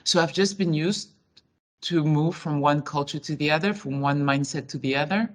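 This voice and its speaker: A woman's voice: low voice, moderate pace. A woman who grew up in Switzerland and Senegal, answering interview questions as a company chief executive in a frank, reflective, loosely phrased way.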